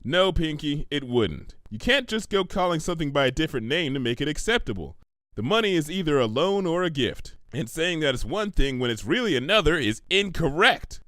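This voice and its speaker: An earnest, bookish female unicorn, performed by a man in a storybook reading. The voice is raised in pitch and lively, lecturing with wordy, emphatic authority in a dry recording. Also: fairly quick, no echo. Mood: indignant, lecturing.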